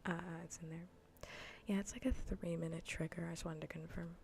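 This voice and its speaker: A woman streaming in a soft, breathy, often whispered ASMR voice, chatting informally and playfully close to the mic. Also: lowish voice, steady tone, fairly quick, a little hiss or hum. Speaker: streamer hosting a live cozy gaming broadcast. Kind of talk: soft-spoken ASMR casual chat. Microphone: close ASMR microphone.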